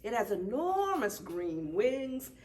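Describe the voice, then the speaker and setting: A woman reading a children's picture book aloud at story time, expressive and deliberate. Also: a little high. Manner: deliberate, animated. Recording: distant mic, faint background noise.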